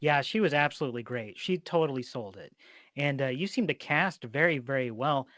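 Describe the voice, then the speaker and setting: A man's voice: mid-range voice, animated, brisk, a bit bright, no echo, clean studio sound. A man interviewing a guest in an informal, meandering way, chatting and telling rambling anecdotes. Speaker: interviewer, host. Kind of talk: casual chat, rambling anecdotes.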